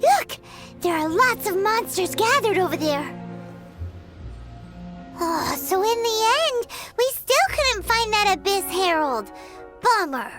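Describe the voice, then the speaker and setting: Squeaky, excitable little fairy girl. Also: slow, long pauses, exaggerated voice. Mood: excited, then let down. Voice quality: squeaky, childlike. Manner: chirpy, refers to herself in third person. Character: small excitable floating fairy girl companion. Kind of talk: video game dialogue acting. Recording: voice over game music.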